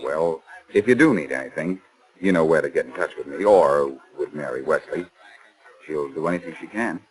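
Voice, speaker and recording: An actor playing a wry, self-assured amateur sleuth with an American accent in 1940s radio drama style. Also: male voice, a bass voice, animated, normal speed, rough recording. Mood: probing, considerate. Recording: remastered 1940s radio recording.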